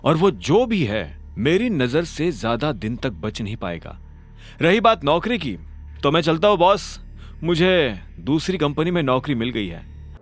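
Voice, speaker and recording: Young male lead voiced by a narrator: brooding, resolute, suspicious, expressive pitch, music bed, audio series. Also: a little slow. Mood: confident. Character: determined, suspicious young man hunting his father's killer.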